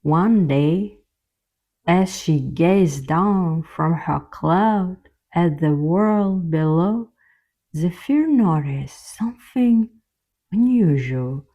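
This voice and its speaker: A woman narrating a scripted children's fairy tale as the storyteller, in a gentle, measured way. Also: on the low side, animated.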